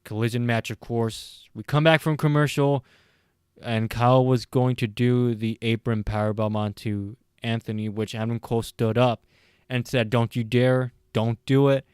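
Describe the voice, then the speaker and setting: Guy doing a casual show review, kinda rambling and unsure, clear voice a bit worn from late night talking, dry close sound. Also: medium pitch, steady tone, normal speed, darkish tone, dry recording. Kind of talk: casual recap and opinion commentary.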